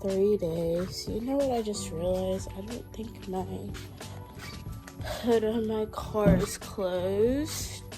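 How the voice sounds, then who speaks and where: A woman's voice: mid-range voice, slow pace. A young woman vlogging casually while sick, her voice stuffy and congested, rambling with lots of fillers. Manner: rambling, filler-heavy, talking to viewers. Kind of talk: casual vlog chat. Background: loud background music.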